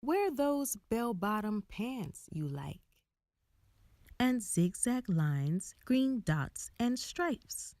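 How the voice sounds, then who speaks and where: A woman narrating a rhyming picture book for children in a deliberate, expressive storytelling manner. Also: fairly high pitch, no room sound. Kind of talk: rhyming children's read-aloud.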